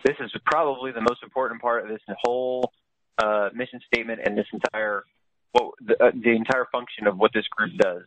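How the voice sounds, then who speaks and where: A man leading a group conference call, explaining things informally in a halting way, often searching for words. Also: mid-range voice, fairly even intonation, fairly bright tone, no room sound, poor audio. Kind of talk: informal explanatory presentation, partly read aloud.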